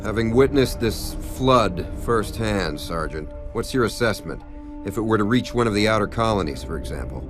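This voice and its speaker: An actor playing a composed military commander in a motion comic, formal, measured and authoritative. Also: male voice, fairly low pitch, animated, lightly acted. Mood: formal, guarded. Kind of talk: dramatic voice acting in a motion comic. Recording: dramatic music behind the voice. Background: dramatic score.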